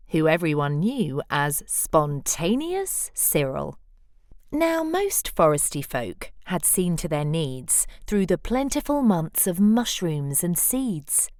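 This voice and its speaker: Female children's storyteller, playful and dramatic, unhurried delivery, very lively intonation. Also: on the low side, dry recording, clean studio sound. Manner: dramatic, playful, deliberate phrasing. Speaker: storyteller reading a children's picture book. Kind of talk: expressive rhyming storybook read-aloud.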